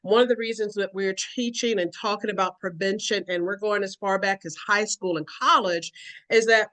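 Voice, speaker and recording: A woman's voice: mid-range voice, steady tone, moderate pace, a bit bright, low-quality recording. Woman, educator, earnest explanatory talk, acts out examples.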